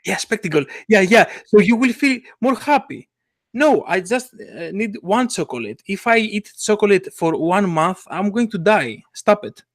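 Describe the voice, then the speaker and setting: Higher-pitched man speaking halting non-native English. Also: animated, no room sound, studio quality. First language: not English. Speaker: podcast co-host and illustrator. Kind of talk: casual podcast discussion.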